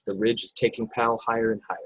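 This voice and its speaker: Husky low-voiced man narrating an action script. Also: little pitch movement, fast talker, fairly bright tone, no room sound, poor audio. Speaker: narrator reading stage directions. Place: indoors, remote video call table read.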